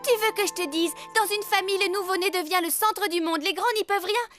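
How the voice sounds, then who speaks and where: A very high, bright little cartoon animal girl. She is bossy and emphatic, explaining things like a know-it-all, over faint music. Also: fast. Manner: emphatic, knowing. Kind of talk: French cartoon dubbing.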